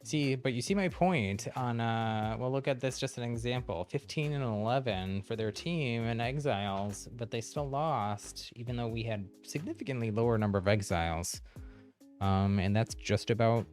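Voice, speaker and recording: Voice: mid-range voice, normal speed, darkish tone. A game streamer giving casual, chatty live gameplay commentary, thinking aloud while playing. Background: game music.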